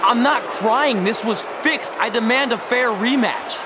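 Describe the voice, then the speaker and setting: A man's voice: very high voice, unhurried, noisy background. A young man playing a cocky, defensive pro wrestler in a video game cutscene. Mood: indignant, comic. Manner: animated, indignant.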